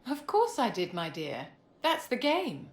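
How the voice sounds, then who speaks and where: A woman's voice: fairly high pitch, wildly swooping pitch, a little slow. An actress playing a haughty, scheming court lady in a video game, polished and condescending in her politeness. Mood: triumphant. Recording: roomy echo, faint background noise.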